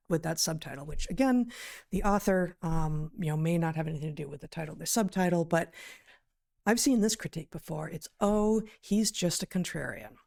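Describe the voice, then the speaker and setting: A woman giving deliberate, articulate conversational commentary as a podcast co-host, pausing mid-sentence. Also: lowish voice, a little mellow. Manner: deliberate, articulate, hesitant pauses mid-sentence, emphatic word choices. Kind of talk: conversational commentary on news, reading out quotes.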